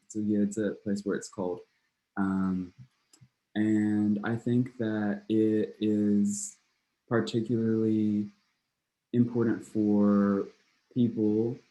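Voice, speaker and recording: A man on an online panel speaking slowly and deliberately in a measured way. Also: on the low side, mellow, poor audio. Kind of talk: panel discussion over video call.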